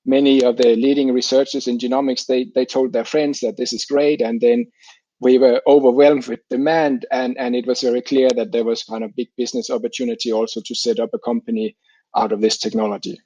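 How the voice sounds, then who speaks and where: A man's voice: mid-range voice, little pitch movement, brisk, warm tone, dry recording, highs cut off. Man explaining, close dry sound. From Denmark. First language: Danish. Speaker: interview guest, scientist. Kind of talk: explanatory interview talk.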